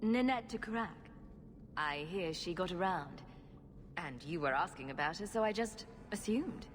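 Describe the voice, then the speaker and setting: A woman's voice: fairly low pitch, animated, normal speed, lightly acted. An actress playing a blunt, flippant female adventurer in a dramatic video game, with a wry delivery. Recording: reverberant hall with ambient noise.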